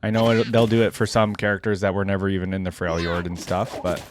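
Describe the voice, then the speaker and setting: A man's voice: deep voice, flat delivery, fast talker, noisy background. A man giving casual reaction commentary as a gamer, thinking aloud in an informal way. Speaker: gamer reacting to game character skins.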